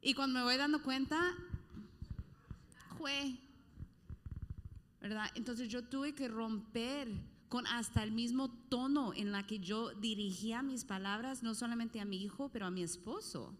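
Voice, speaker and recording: A woman from Costa Rica speaking on a panel, teaching off the cuff and pausing to find words. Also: fairly high pitch, a bit bright, slight background noise. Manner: reflective, pauses to search for words. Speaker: speaker on a pastoral question panel. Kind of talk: unscripted teaching with personal anecdote. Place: large hall at a conference. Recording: reverberant hall sound.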